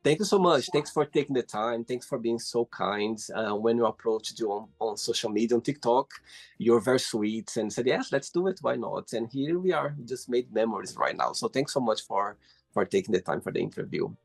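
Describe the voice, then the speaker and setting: A man from Brazil, a native Portuguese speaker, hosting a casual interview in English with an effusive, animated manner. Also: medium pitch, fairly quick, vocal fry, husky. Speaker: host. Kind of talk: casual video call interview.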